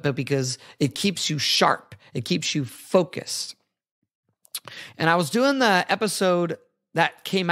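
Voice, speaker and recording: A man hosting his own podcast, talking solo in an earnest, chatty way with a hoarse, raspy voice from losing it. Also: a little high, a bit bright. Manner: earnest, chatty, self-correcting. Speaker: podcast host.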